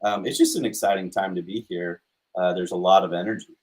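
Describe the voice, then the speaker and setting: A man's voice: sits low, steady tone, a little fast, poor audio. A man from Utah chatting casually and easily as an interview guest. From Utah, United States. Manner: easygoing, conversational. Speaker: interview guest, animation studio co-founder. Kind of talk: casual chat.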